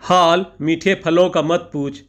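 Male vlog narrator, slightly raised pitch, ornate poetic delivery. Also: steady tone, measured pace, a bit bright. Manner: flowery Urdu-laced phrasing with couplets and praise. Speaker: vlogger narrating a food outing.

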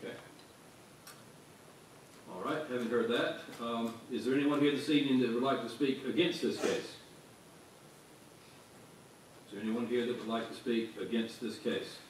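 A man's voice: mid-range voice, fairly quick, a little hiss or hum. A man chairing a planning commission's public hearing in a formal, procedural way. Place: large council chamber. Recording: echoey hall, mic far off.

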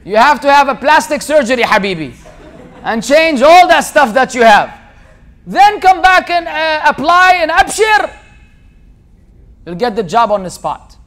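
A man with a strikingly high-pitched voice lectures from a table in a hall, speaking English with an American-sounding accent. He talks with lively energy and acts out the people he quotes. A small tabletop mic picks him up. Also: unhurried, long pauses. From Lebanon. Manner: animated, gesturing with both hands, acts out quoted people. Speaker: Islamic lecturer. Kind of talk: public lecture. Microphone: small tabletop mic on a tripod.

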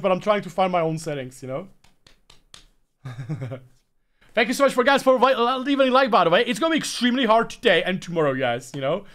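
High-voiced man streaming. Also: fairly bright tone. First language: Czech. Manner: rambling, chatty, lots of fillers. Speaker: sim racing streamer. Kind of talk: live stream chat while driving.

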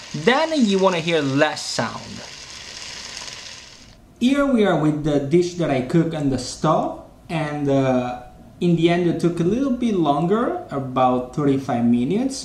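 A man in his forties with a Sicilian Italian accent, hosting a casual home cooking demonstration, unhurried with many hesitations. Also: fairly high pitch, roomy sound, a little hiss or hum. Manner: unhurried, hesitant with many fillers, relishing tastes. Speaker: cooking show host. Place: home dining room, indoors.

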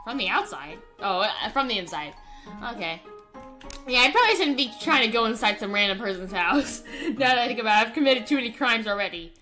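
Bright, high-voiced, animated woman gamer. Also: fairly quick, faint music behind. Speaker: gaming YouTuber. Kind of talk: casual roleplay let's play commentary.

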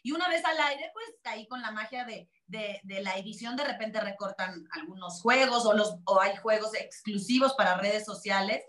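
A woman's voice: medium pitch, normal speed, few pauses, echoey room. A woman in her forties, born in Spain to Catalan parents and speaking Spanish with a Mexican accent, chatting animatedly as an interview guest over a video call. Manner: chatty, animated, many restarts. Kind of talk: casual interview chat. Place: small room, video call.

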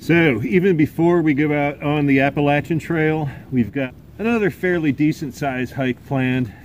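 A middle-aged man hosting a hiking vlog, talking to the camera under a tarp outdoors in the woods in a relaxed, chatty way with lots of "uh"s. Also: on the high side, moderate pace, slight background noise. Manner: relaxed and chatty, many filler "uh"s. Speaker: hiking vlogger. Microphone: camera at arm's length.

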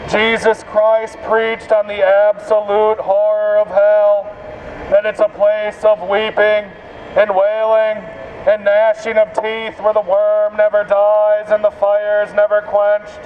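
A man's voice: high voice, completely flat delivery, takes it slowly. A man preaching an open-air evangelistic sermon through a bullhorn in a solemn, declamatory, repetitive manner, outdoors on a city street. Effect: amplified through a bullhorn. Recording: dull bullhorn sound with street traffic noise. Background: street and vehicle noise.

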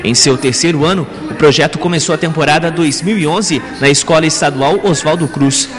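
A young man in his twenties reporting for local TV news, narrating in a measured, formal way. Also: on the high side, fairly bright tone, long reverb tail. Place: school hall with a large crowd of students. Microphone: handheld reporter mic.

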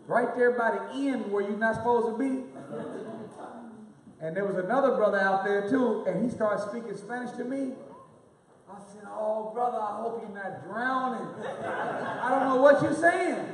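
A young man leads a fundraising appeal from the pulpit, calling out names and amounts in a steady rhythm. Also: high-pitched, moderate pace, slight background noise. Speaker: minister leading a fundraising appeal. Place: large hall with an audience. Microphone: handheld microphone recorded from a far camera. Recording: echoey hall audio from far off.

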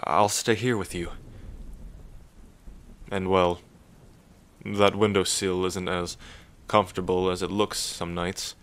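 A man playing a gentle, bashful pirate captain in a soothing, hesitant, soft-spoken ASMR roleplay. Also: low-pitched, little pitch movement, slow pace, pauses often, full character voice. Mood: reassuring.

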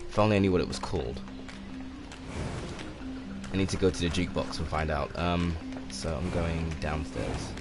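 Twenties male streamer, deep and mellow, laid-back rambling talk, game music clearly audible underneath. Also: normal speed, long pauses. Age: 20s. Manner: soft-spoken, relaxed, rambling with many fillers. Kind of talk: casual gameplay commentary. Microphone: condenser microphone.

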